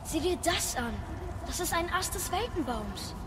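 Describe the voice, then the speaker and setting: A woman's voice: extremely high pitch, expressive, normal speed, background noise. A woman playing a curious, earnest young boy, the son of a warrior, in a German audio drama. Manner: inquisitive, earnest.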